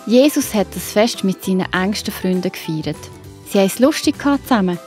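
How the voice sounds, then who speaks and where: Children's audio play narrator: woman, Swiss German accent, soft mellow tone, scripted storytelling over music. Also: medium pitch, lively intonation, a little fast.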